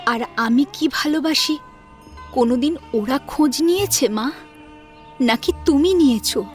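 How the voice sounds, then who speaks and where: A woman playing a self-respecting, quietly wounded young woman who is polite but pointed with her family in an audio story. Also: sits high, unhurried, soft background music. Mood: hurt reproach. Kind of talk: Bengali audio story acting.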